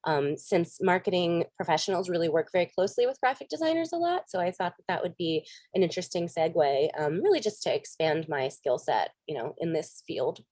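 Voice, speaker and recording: Low-voiced woman with a sniffly cold. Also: lively intonation, brisk, few pauses, darkish tone, no room sound. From United States. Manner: friendly, chatty, many ums. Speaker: guest speaker, graphic designer. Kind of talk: casual video-call chat and talk. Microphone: video call.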